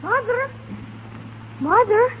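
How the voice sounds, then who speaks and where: A woman's voice: extremely high pitch, expressive, slow pace, heavily acted. A woman voicing a small, sleepy Irish boy in a 1930s radio drama. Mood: sleepy, anxious. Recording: tinny, noisy 1930s radio recording.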